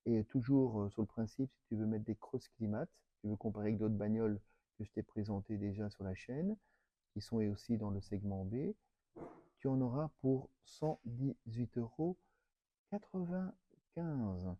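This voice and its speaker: A man's voice: lowish voice, normal speed, no room sound, highs cut off. A man with a Belgian French accent presenting a car in a casual, chatty way, talking to the viewer in familiar, slangy words.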